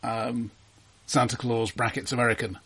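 A man discussing films casually and knowledgeably, in a dry, deliberate way, as a podcast co-host. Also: mid-range voice, little pitch movement, fairly bright tone, slightly rough. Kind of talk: casual, informed film discussion.

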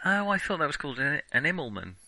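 A man's voice: a little high, sing-song, fast, gravelly, bright, forceful tone, no echo. A man co-hosting a casual film discussion, talking in a hesitant, conversational way. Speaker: film podcast co-host.

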